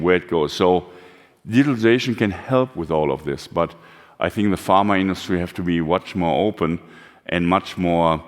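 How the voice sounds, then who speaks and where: A man with a German accent, a company chief giving a blunt, candid conference talk with fillers and non-native phrasing. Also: sits low. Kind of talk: conference presentation. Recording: long hall reverb.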